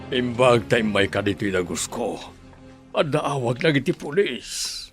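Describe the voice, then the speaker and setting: A man's voice: medium pitch, lively intonation, measured pace, loud music behind the voice, natural voice. A man playing a stern, resentful older brother in a radio drama. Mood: serious, decisive. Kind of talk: Ilocano radio drama acting.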